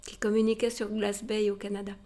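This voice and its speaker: A woman's voice: mid-range voice, level tone, fairly quick, mellow. Female museum guide, informal historical storyteller, explanatory and direct with the listener. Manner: explanatory, addresses listeners directly with rhetorical tags.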